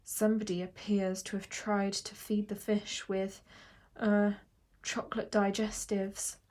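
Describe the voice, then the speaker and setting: A woman's voice: high-pitched, expressive, brisk, a little mellow, voice pushed up. An apologetic male school receptionist played by a woman narrator, polite and anxious. He picks his words hesitantly and discreetly, in storybook fashion. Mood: worried, apologetic. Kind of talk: children's audiobook character voice.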